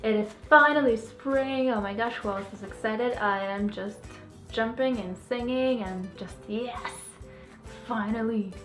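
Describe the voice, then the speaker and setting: A woman's voice: a little high, a little slow, fairly bright tone, faint music behind. A young woman with a Russian accent, chatting cheerfully to viewers as she narrates her cooking. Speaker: vegan food vlogger.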